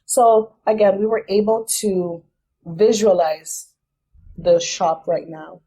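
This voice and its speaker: A woman's voice: mid-range voice, slow pace, mellow, slightly rough, poor audio. A young adult woman and business founder, a podcast guest, explaining things step by step in a thoughtful, casual way. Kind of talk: casual interview.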